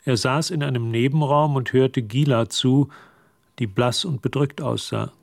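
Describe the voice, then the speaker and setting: A man's voice: mid-range voice, steady tone, a little slow, creaky. A man giving scripted literary narration in a German radio drama.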